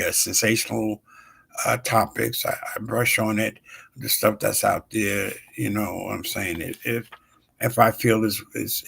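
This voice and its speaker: A man in his sixties hosting his own show, talking casually with lots of pauses and fillers and greeting viewers by name. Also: on the low side, vocal fry, fairly bright tone, slightly rough. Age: 60s. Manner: rambling, halting, many fillers. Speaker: show host. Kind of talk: casual live-stream talk.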